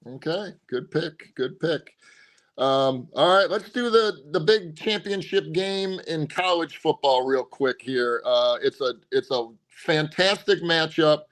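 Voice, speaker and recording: Male co-host of a sports show, fairly high voice, animated and talkative. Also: slow pace. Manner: animated, drops pitch to stress points, frequent restarts. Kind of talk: casual sports picks chat.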